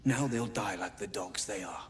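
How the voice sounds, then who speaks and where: A man's voice: lowish voice, normal speed, a bit bright, lightly acted. A man voicing a ruthless bomber bent on revenge in a video game, menacing and commanding. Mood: cold contempt. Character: ruthless male bomber plotting violent revenge. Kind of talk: video game dramatic dialogue. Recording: noisy ambience behind the voice.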